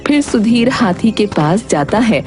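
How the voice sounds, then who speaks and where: A woman's voice: mid-range voice, expressive, unhurried. A woman narrating a scripted cartoon story as the storyteller. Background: music.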